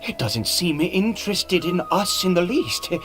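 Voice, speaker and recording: A worried tired dad in a video game, slow n halting, pitch jumps all over, music behind. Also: male voice, big pitch swings, fairly bright tone, heavily acted. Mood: troubled, self-doubting. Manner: hesitant, halting, with stammered repeats. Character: anxious, weary father searching for his son. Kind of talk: dramatic video game voice acting.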